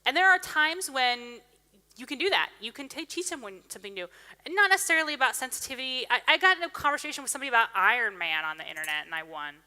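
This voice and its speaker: A woman speaker answering audience questions after her talk in a large hall, chatty and animated with lots of restarts. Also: sits high, fast talker. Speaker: conference speaker taking questions. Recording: reverberant hall sound.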